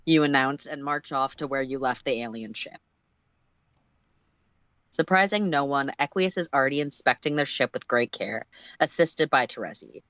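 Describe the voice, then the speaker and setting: A mid-pitched narrator reads fiction aloud for a livestream, expressive and informal. The sound is dry and close, with little room in it, and the delivery drifts between storytelling and offhand self-correction. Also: moderate pace, no echo, rough recording. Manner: animated second-person storytelling, stumbles and self-corrects. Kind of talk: expressive story reading with casual asides.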